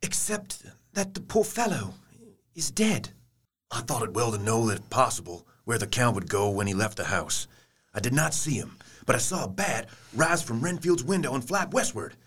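An actor playing a grave, earnest young gentleman giving a report in a theatrical audio drama. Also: mid-range voice, sing-song, moderate pace, breathy edge. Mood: grim.

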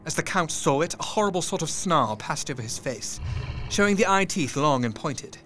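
A man narrating an audio drama with tense, measured storytelling that sometimes sinks to a hush. His voice sits a little high for a man. Faint music plays beneath him. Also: lively intonation.

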